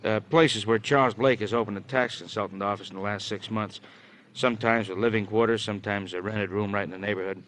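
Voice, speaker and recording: Man doing sly american radio detective, low voice, roundabout hinting, old hissy recording. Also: fairly even intonation, a little fast, a bit bright, natural voice. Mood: methodical, knowing. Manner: drawling out hints, tagging questions with huh. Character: wry, roundabout male police detective, Danny's colleague. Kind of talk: 1950s radio crime drama acting.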